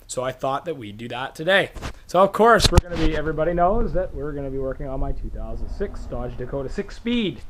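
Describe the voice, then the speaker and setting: A hoarse man hosting a car how-to video, casually walking around his truck outdoors and explaining each step to the viewer while holding the camera in one hand. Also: a little high. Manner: relaxed, chatty, talking to the viewer while working. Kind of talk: casual hands-on demonstration. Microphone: camera held in one hand.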